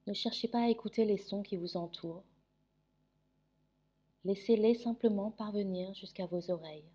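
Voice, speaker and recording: Female meditation guide, dark soft-edged timbre, mid pitch, soothing and unhurried with long pauses. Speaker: yoga nidra meditation guide.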